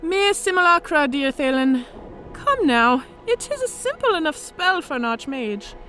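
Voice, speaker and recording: Fantasy audio drama, female dragon mage in disguise: cunning, articulate, very animated, high-pitched, faint music beneath. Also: measured pace, exaggerated voice. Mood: mocking, calm. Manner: theatrical, composed, formally articulate.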